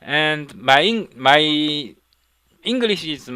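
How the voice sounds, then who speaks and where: A man with a Korean accent, a non-native English speaker, teaching a coding tutorial in halting speech, repeating words and checking in with "okay?". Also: fairly high pitch, animated, sharp tone, clear, resonant tone, no room sound. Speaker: programming instructor. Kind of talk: screen-recorded coding tutorial.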